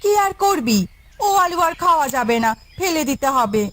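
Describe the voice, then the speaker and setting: A woman as a high-pitched brisk village wife. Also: lively intonation, fairly bright tone. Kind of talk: cartoon voice acting.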